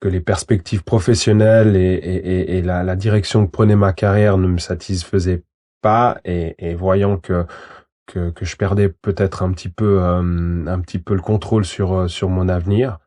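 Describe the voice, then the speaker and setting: A man's voice: low-pitched, steady tone, moderate pace, warm tone. A man in his thirties from Switzerland, a lawyer answering interview questions in a hesitant way, with many fillers and restarts. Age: 30s. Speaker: guest, lawyer.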